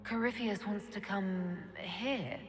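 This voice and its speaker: An actress playing a sharp elf mage leader in a fantasy video game, with a terse, dry, questioning manner. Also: female voice, mid-range voice, slow, big-room sound, music in the background, lightly acted. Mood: probing.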